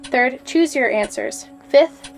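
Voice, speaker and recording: A woman playing a stern, coldly brisk exam proctor in an audio drama, with a clipped, formal, commanding manner. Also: high voice, vocal fry, soft background music, heavily acted. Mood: brisk, imperious.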